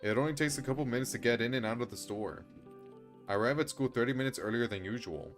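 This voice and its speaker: A man's voice: medium pitch, fast, faint music behind. A man streaming a game, switching between reading story narration aloud and commenting casually. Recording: clear background noise, rainy ambience. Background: noise, rain during a stream.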